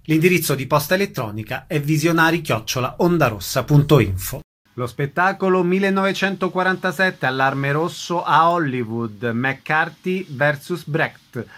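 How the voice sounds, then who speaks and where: A man's voice: on the high side, a bit bright. A man reading a scripted radio programme announcement in a formal presenting manner.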